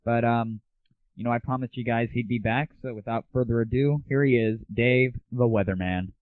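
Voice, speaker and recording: A man's voice: mid-range voice, steady tone, normal speed, no room sound. An American man hosting a show with a casual, comic monologue style. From United States. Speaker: show host. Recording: dry, phone-like lo-fi audio.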